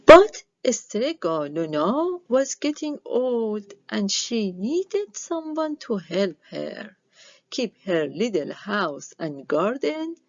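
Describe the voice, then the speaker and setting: A woman reading a children's story aloud with expressive delivery, on a dull lo-fi recording. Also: medium pitch, dry recording. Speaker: storybook reader.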